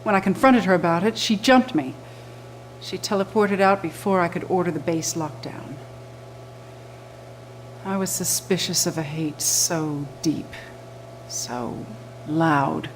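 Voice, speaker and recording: A woman's voice: on the low side, a little slow, long pauses, slight background noise, close to an everyday voice, rough recording. A woman in her thirties playing a stern, businesslike resistance officer, giving a serious briefing in a video game cutscene. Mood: grim, regretful. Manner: serious, clipped briefing delivery.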